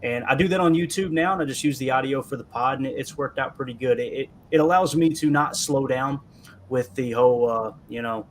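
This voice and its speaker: Appalachian Kentucky guest, mid-pitched, easygoing and self-mocking, faint rumble underneath. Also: male voice, a little fast. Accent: southeastern Kentucky Appalachian. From southeastern Kentucky. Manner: self-deprecating, rambling with repeated words. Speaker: podcast guest. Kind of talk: casual chat.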